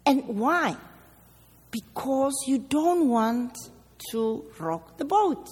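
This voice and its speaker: A woman with a South African accent answering audience questions at a public talk, deliberate and emphatic, with rhetorical questions. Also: high voice, lively intonation, darkish tone, slightly rough. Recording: echoey hall with long reverb.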